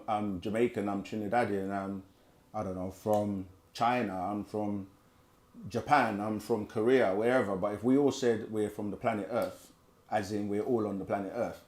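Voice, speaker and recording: Man, low-ish voice, chatty livestream host reading chat and riffing on answers. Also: darkish tone. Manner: conversational, repeats himself, reads chat aloud. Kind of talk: casual live Q&A teaching.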